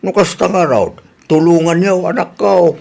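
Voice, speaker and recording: An elderly father acted by a man with a somewhat high voice for a man. He is caring and concerned, talking in the manner of an Ilocano radio drama. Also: moderate pace, creaky, a little mellow.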